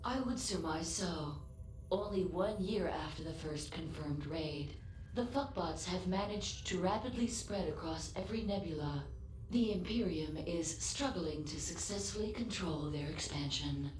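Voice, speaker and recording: A loyal female android played by a woman speaks in a lowish, echoing voice over faint noise. She is formal, precise and matter-of-fact, a measured, literal-minded ship's assistant from a sci-fi game. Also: darkish tone, lightly acted. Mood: composed, factual. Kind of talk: scripted video game voice acting.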